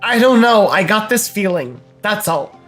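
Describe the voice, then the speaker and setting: A man's voice: high-pitched, lively intonation, a little slow, fairly bright tone, soft background music. A man co-hosting a live game stream, chatting casually and working out his thoughts aloud with many fillers. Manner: musing, theorizing, hedging with fillers. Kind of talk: casual live gameplay chat.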